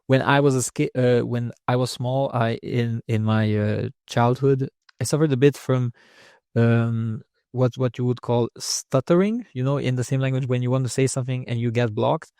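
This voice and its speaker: A man's voice: medium pitch, steady tone, moderate pace, darkish tone, clean tone, dry recording, clean studio sound. A young man speaking English as a second language with an accent, talking casually as a guest oncologist, often restarting and repeating words. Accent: non-native English. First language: not English. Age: young adult. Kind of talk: casual interview conversation.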